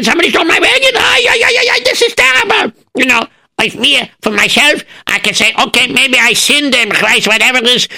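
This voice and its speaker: A man's voice: high-pitched, gravelly, sharp tone, pitched above the performer's own voice, heavily acted. Man putting on a fretful farmer. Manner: fretful, sing-song, wailing. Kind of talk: storytelling.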